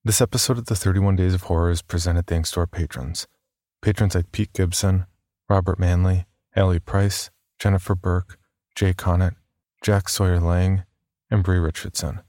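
A man's voice: deep voice, fairly even intonation, normal speed, mellow, dry recording, studio quality. A man hosting a horror podcast and reading scripted first-person stories. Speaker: podcast host and story reader.

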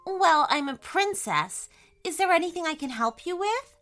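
A woman's voice: extremely high pitch, animated, moderate pace, a bit bright, soft background music, voice pushed up. A woman voicing a sweet, eager young princess girl with wide-eyed, exclaiming delivery in a children's storybook.

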